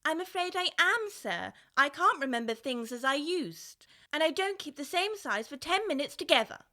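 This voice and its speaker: Shy polite little girl in a storybook, high bright voice, easily flustered. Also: sing-song, moderate pace, exaggerated voice. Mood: apologetic. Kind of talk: full-cast audiobook acting.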